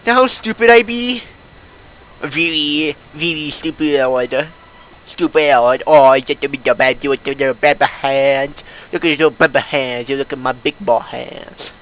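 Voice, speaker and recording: Lisping young male streamer, higher-pitched voice. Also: moderate pace, fairly bright tone. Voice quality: lisp. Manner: rambling, slangy, self-mocking. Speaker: game streamer. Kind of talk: casual livestream chat while gaming. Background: video game music.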